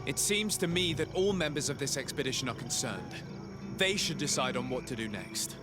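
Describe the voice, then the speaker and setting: An actor playing a principled, diplomatic envoy in a video game, formal and grave. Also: male voice, medium pitch, lively intonation, moderate pace, loud music behind the voice, natural voice. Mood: measured, diplomatic. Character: measured, principled male envoy, diplomatic investigator. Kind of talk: dramatic video game voice acting.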